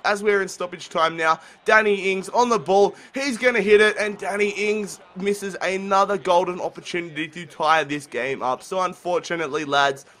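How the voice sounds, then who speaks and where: A young man commentating his football video game play while sick with the flu, talking casually and getting excited at chances. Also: on the high side. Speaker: gaming commentator.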